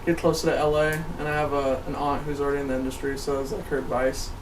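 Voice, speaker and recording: An American man chats casually in a mid-range voice. He sounds away from the microphone, with clear room noise around him. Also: medium pitch, little pitch movement, fast. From Nebraska, United States. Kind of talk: casual chat. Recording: distant mic, noisy air-conditioned room.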